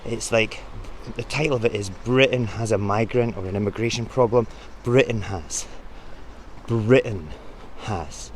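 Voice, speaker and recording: A man's voice: lowish voice, measured pace, slight background noise. A male podcast host introducing a report in earnest, pleading commentary.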